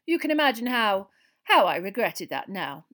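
A stern, proper older schoolmistress acted by a woman reader from a storybook. Her speech is prim and sharp, on the high side. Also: animated, fast talker. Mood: regretful.

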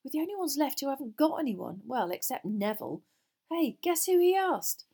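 A woman's voice: high-pitched, sing-song, normal speed, mellow, voice pushed up. A woman voicing a blunt, impatient, sulky teenage schoolboy in a children's fantasy audiobook. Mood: exasperated.

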